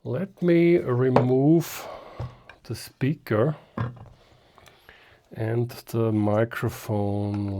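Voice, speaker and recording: A man's voice: medium pitch, dark tone. A man giving casual, unscripted how-to commentary as he works on a hobby restoration, hesitant and thinking aloud to himself. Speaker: hobbyist host of a restoration video.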